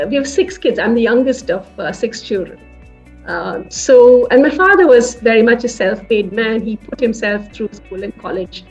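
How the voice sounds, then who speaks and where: A woman in her fifties from India with a South Indian English accent, looking back on her life in a reflective interview, pausing often on "uh". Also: on the high side, a little mellow, far from the mic, muffled recording. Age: 50s. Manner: reflective, many "uh" fillers and restarts. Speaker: senior tech executive. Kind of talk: interview recollection.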